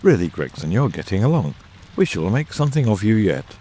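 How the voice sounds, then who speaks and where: Male actor as superior detective, dry, mocking, lowish pitch, hugely varied intonation, audiobook drama. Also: sing-song, fairly quick, warm tone, a little hiss or hum. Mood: wry, patronizing. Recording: faint rain ambience behind.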